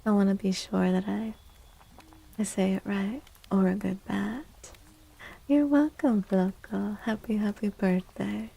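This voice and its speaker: A woman speaks softly and slowly, in the manner of an ASMR streamer chatting with her viewers. Her hushed voice is breathy with a gravelly creak, and it often drops to a whisper close to the mic. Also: mid-range voice, fairly even intonation. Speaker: livestreamer hosting an ASMR stream.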